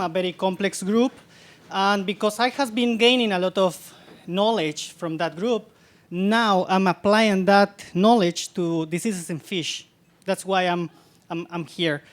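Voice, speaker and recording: A man from Guatemala with a Guatemalan accent giving a public science talk, halting often and repeating words as he searches for them. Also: sits high. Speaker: guest lecturer, scientist.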